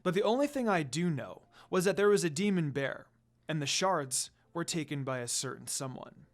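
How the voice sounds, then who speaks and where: A narrator voicing a cool, evasive undead priestess woman with a measured, murmuring manner. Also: mid-range voice, animated, natural voice.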